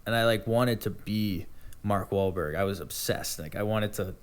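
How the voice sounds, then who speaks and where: Laid-back young man, low voice. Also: moderate pace, clear, resonant tone. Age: young adult. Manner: laid-back, rambling, swears freely. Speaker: podcast guest. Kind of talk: casual chat.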